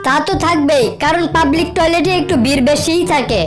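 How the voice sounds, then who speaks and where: A woman's voice: sits high, brisk, close to an everyday voice. A woman voicing a cheeky cartoon boy who fires off smart-aleck comebacks in scripted joke skits. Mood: cocky. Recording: loud music over the voice.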